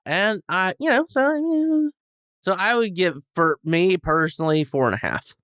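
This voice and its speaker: A man's voice: high-pitched, lively intonation, moderate pace, a bit bright, clean tone, no echo, studio quality. A man chatting casually and comically as a podcast guest, rambling and self-deprecating. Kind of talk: casual comedic chat.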